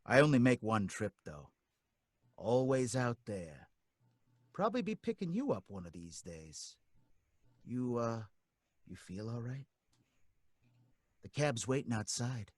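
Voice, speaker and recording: An actor playing a nervous, stammering cab driver who turns out to be a ghost, in an old-time radio drama. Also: male voice, mid-range voice, big pitch swings, a little slow, long pauses, no room sound. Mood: hushed eerie. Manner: folksy, anxious, stammering.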